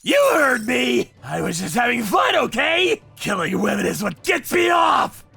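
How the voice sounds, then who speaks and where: A man voicing a brash, unhinged young killer in a manga story, frantic and shouting. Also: very high-pitched, huge pitch swings, a little slow, creaky, crowd noise, heavily acted. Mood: defiant, frantic.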